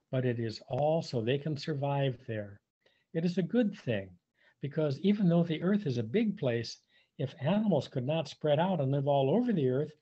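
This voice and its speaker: Older guy reading a kids book, kinda high husky voice, stretches words out, muffled computer mic. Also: mellow. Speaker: volunteer storybook reader for young children. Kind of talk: picture-book read-aloud for preschoolers. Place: indoors, on a video call. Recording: dull, muffled webcam audio.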